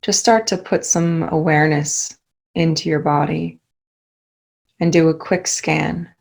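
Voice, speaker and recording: Slow, low creaky woman guiding meditation. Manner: calm, soothing instruction with many pauses. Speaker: meditation guide. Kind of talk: guided meditation.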